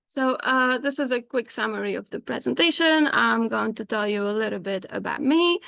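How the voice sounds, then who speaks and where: A woman's voice: on the high side, expressive, normal speed, gravelly, pushed and bright, no room sound, muffled recording. A woman from Tunisia, giving an informal online presentation as a guest speaker. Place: indoors, online video call.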